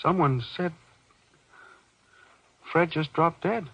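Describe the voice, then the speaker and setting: An actor playing an anxious middle-aged businessman in an old-time radio horror drama, talking in halting, stammering bursts. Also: a little high, heavy background noise. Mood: panicked. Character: balding, pot-bellied businessman, anxious, laughing off dread. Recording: dull, lo-fi old radio recording.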